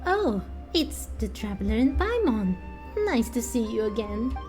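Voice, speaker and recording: Fantasy game priestess woman performer: self-assured, playful tease, relaxed tone, leisurely delivery. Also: a little high, unhurried, faint music behind. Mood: teasing. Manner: playful, teasing.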